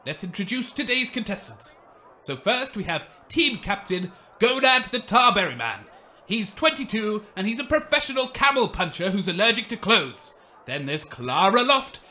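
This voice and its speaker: A man's voice: high voice, very animated, normal speed, pushed and bright, heavily acted. A man playing a showy, grandiose wasteland game show host in a comedic radio play.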